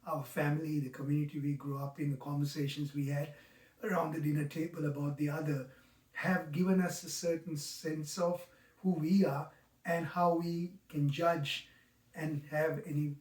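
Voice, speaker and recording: A man in his sixties with a Sri Lankan accent gives a reflective, explanatory talk in an earnest, halting manner, stressing key words. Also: on the high side, level tone, darkish tone. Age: 60s. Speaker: mindfulness teacher. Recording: roomy, echoing, distant microphone.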